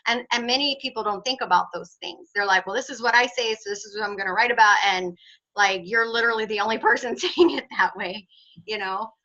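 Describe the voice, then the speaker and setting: Bright-voiced woman on a video call. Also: medium pitch, brisk, dull audio. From North Texas. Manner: chatty, laughs often, explanatory. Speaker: host of membership call. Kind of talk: casual group video chat.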